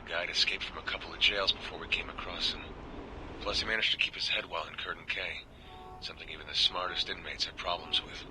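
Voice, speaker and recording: An actor playing a world-weary government agent, confiding troubled reports in measured video game audio logs. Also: male voice, deep voice, steady tone, background noise, natural voice. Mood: wry, reflective.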